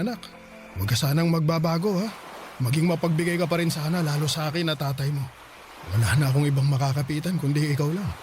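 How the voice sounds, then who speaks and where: A man acts out a freeloading Filipino father in a radio drama, boastful and self-serving. His voice sits fairly high for a man and wheedles as he talks, with faint music underneath. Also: close to an everyday voice. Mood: wheedling.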